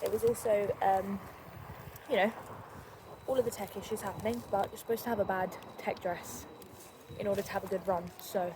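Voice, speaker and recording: A woman's voice: medium pitch, steady tone, brisk, long pauses. A young woman chatting casually into a handheld phone as she walks down the street, with plenty of fillers. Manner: chatty, filler-heavy, walking while talking. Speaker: vlogger. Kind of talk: casual vlog chat. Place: outdoors on a street. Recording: phone vlog with street noise.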